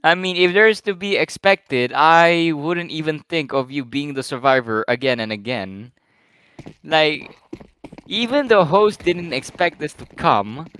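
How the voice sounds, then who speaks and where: Male game caster, high voice, slightly croaky, excitable rambling delivery. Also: measured pace, no room sound. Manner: excitable, rambling, filler-heavy play-by-play. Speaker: esports caster. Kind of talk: live play-by-play game commentary.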